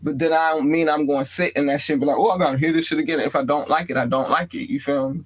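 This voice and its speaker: A man's voice: on the high side, fairly even intonation, rapid-fire, slightly rough, rough recording. A man, co-hosting a casual hip-hop podcast, talking bluntly with slang and a frequent "you feel me.". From born in North Carolina, raised in Baltimore. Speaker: podcast co-host. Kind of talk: casual chat.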